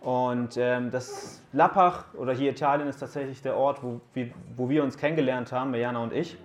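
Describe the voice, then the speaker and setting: A man in his thirties speaks at an ordinary pitch to a room of young listeners. His talk mixes teaching with light jokes and questions to the crowd. He sounds relaxed and direct. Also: brisk. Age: 30s. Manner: casual, joking, asks the audience questions. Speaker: youth speaker at a camp. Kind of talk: sermon talk to young people. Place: room with a live audience.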